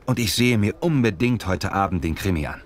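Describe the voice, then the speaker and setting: Deep-voiced male street reporter played by an actor. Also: expressive, brisk. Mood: polite, inquiring. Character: polite male street reporter doing a survey. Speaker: interviewer. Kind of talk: scripted language-lesson dialogue.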